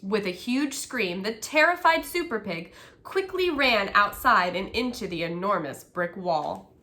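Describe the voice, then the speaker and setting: Female children's storyteller, expressive and unhurried, with a bright, forward tone. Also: mid-range voice.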